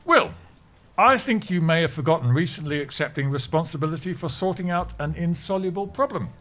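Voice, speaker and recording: A man's voice: a little high, animated, a little fast, fairly bright tone. An actor playing a pompous, earnest older male office worker who dabbles in martial arts and mindfulness, in a theatrical comedy audio drama style. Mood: urgent, exasperated.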